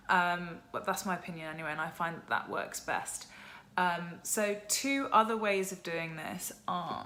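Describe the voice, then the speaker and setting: A young woman talks through her skincare products in a relaxed vlog chat. Her voice is a little croaky, and her sentences keep looping through "like" and "um". She sits at a medium pitch. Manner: chatty, lots of "like" and "um". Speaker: skincare vlogger. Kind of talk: casual product chat to camera.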